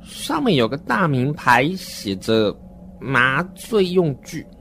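An adult playing a slow, earnest seven-year-old child. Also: medium pitch, very animated, a bit bright, slight background noise, full character voice. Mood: uneasy, earnest. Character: seven-year-old child narrator, naive, wordy, uneasy. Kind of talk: horror radio drama monologue, scripted narration.

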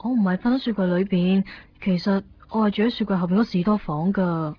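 A woman's voice: medium pitch, quick pace, a little hiss or hum, natural voice. An actress with a Hong Kong accent playing an innocent, easygoing homeless young woman in a radio drama, casual and unfazed. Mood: unfazed, resigned. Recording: dull 1990s radio drama recording. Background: faint background music.

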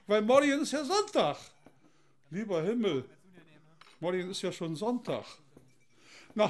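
A man playing a gruff, jocular innkeeper in a radio drama, talking colloquially and teasingly. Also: high voice.

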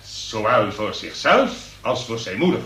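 Pompous magistrate in an echoey room. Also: male voice, medium pitch, sing-song, unhurried, bright, forceful tone. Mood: pontificating. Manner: pompous, verbose, archaic legal phrasing. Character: pompous, long-winded male examining magistrate. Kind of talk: comic radio play acting, courtroom oratory. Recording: noisy, echoey room, distant from mic.

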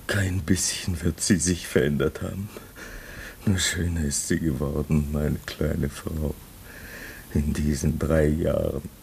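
A man's voice: extremely low pitch, little pitch movement, slow pace, creaky, darkish tone, slight background noise, heavily acted. An actor in a German radio play, playing an embittered, homesick man stranded in the Arctic, brooding and anguished. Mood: wistful, longing. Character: embittered, homesick husband stranded at a polar station.

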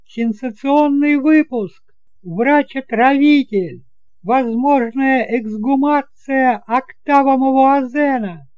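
A man voicing a newsboy who loudly cries out sensational street headlines in an audio drama. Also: extremely high pitch, takes it slowly, clear, resonant tone, dry recording, pitched above the performer's own voice. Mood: urgent, sensational. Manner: loud crying out of headlines.

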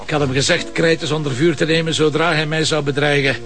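A man's voice: on the high side, steady tone, fast talker, a bit bright. A man with a Flemish accent playing a determined, protective police commissioner in a television crime drama. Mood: calm, explaining.

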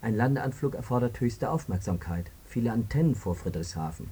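An actor playing a defensive air traffic controller in a radio drama, answering tersely and matter-of-factly. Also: male voice, medium pitch, lively intonation, fairly quick, dark tone, slightly rough. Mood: terse, businesslike. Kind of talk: scripted radio drama dialogue. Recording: audible hum and noise, clicks.

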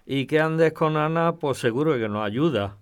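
A man's voice: fairly high pitch, normal speed, clear, resonant tone, lightly acted. An actor playing a stern, old-fashioned older man who moralises in a preachy manner, in an audio drama. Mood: disapproving.

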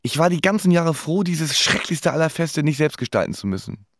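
A man's voice: on the high side, very animated, fairly quick, no echo, clean studio sound. A man narrating his own story in a wry, resigned, matter-of-fact way. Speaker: narrator of an autobiographical radio play. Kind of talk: scripted first-person narration. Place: dry studio.

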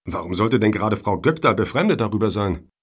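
An actor playing a self-assured, unruffled foundation official in a satirical radio play, dry and needling in manner. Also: male voice, fairly low pitch, expressive, fairly quick, husky. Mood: slyly assured. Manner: dry, matter-of-fact, needling.

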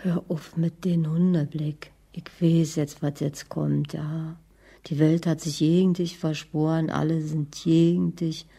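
A middle-aged woman playing a bitter, scornful, nagging wife in a radio drama, with a raspy voice. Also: sits low, level tone, measured pace, mellow, full character voice.

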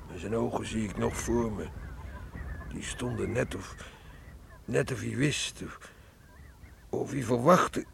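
Sad loyal village neighbour, radio play, really croaky lowish voice, humble and halting, bit of noise behind. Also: male voice. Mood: shaken. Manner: subdued, deferential, halting. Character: sorrowful, loyal village neighbour of the grieving father. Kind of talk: Dutch radio play acting.

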